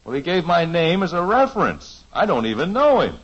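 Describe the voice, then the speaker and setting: A man's voice: on the high side, sing-song, brisk, fairly bright tone. An actor playing a flustered, good-natured suburban father in a 1950s radio sitcom, hesitant and stalling with fillers, heard on a dull lo-fi old radio recording.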